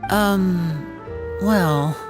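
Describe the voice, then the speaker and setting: A young male magician in a video game, polite and a little hesitant. The voice is soft-mannered and deferential, pitched low for a woman, with music behind it. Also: drawn-out words. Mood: hesitant, evasive.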